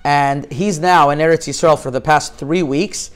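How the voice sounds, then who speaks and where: A middle-aged man from Canada, a rabbi giving an informal lecture, talking haltingly with frequent restarts and Hebrew terms woven into his speech. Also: fairly high pitch, steady tone.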